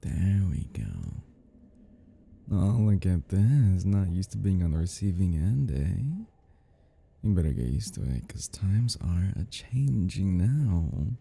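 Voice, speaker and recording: A man playing an obsessed, giddy and menacing crime scene cleaner in an intimate audio roleplay, rambling in a husky voice that drops into whispers. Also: sits low, expressive, slow, dark tone, heavily acted. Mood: taunting, menacing. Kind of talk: intimate ASMR-style dramatic roleplay.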